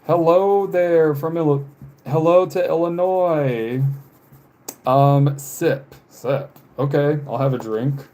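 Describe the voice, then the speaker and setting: Slow-talking young man chatting on a stream. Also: on the high side, steady tone, warm tone. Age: 20s. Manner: rambling, laughs often, reads chat messages aloud. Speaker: live streamer. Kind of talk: casual chat while drawing on a live stream.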